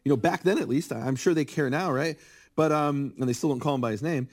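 Middle-aged male guest scholar, slightly high voice, animated and lecturing. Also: quick pace. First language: English. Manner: animated, acts out quoted speakers. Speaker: guest scholar of biblical Hebrew. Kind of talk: conversational interview, lecturing.

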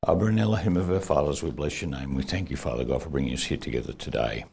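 Man, very deep voice, croaky, earnest, prayerful, leading a congregation. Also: quick pace, a little mellow, a little hiss or hum. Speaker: congregation leader. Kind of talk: spoken prayer and teaching.

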